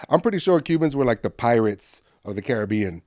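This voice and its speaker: A comedian from Miami, a guest on a podcast, chatting and teasing with quick quips. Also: male voice, mid-range voice, animated, a little mellow, dry recording. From Miami, Florida. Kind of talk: casual chat.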